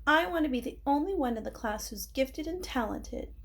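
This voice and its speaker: A woman's voice: high-pitched, lively intonation, normal speed, voice pushed up. A woman voicing a snippy, competitive schoolgirl know-it-all in a children's storybook. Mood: smug.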